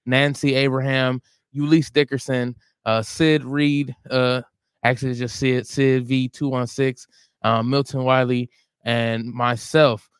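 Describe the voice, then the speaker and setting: A man's voice: medium pitch, smooth, dry recording, studio quality. An American man chatting casually as a show regular, plugging events in an informal, rambling way. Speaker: show regular and stand-up comedian. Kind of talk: casual chat, plugging shows.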